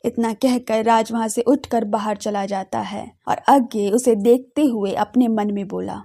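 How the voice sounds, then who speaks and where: A woman's voice: fairly high pitch, fairly even intonation, a little slow, creaky, dark tone. A woman narrating a scripted audio drama story as a radio storyteller.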